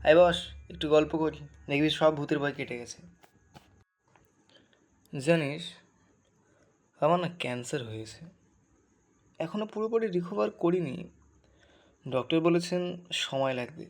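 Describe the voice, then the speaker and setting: A man's voice: fairly high pitch, measured pace, pauses often. A man playing an ailing young man in an audio drama, an old friend speaking intimately and plaintively in a cracked voice. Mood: soft, wistful. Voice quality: broken, cracked voice.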